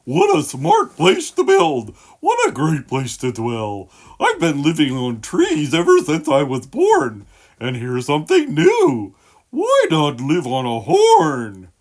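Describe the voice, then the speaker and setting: A woman acts a fresh, brash little storybook bird fellow. The voice jumps wildly between high and middling notes in bold, exclamatory bursts. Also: huge pitch swings, takes it slowly, a little mellow, full character voice. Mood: delighted, brash.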